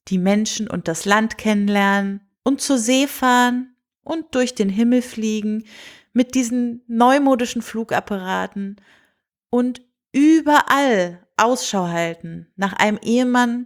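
A woman voicing a kindly, reassuring king and father in a storybook, calm, friendly and unhurried. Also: a little high, close to an everyday voice. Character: kindly, reassuring old-fashioned fairy-tale king and father.

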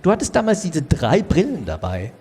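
A man's voice: on the high side, wildly swooping pitch, normal speed, slightly rough, long reverb tail, heavily acted. A man improvising a comedy radio play as a chatty, questioning host of a reunion show. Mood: curious, bantering. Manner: questioning, hesitant bantering. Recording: noisy live room with loud audience.

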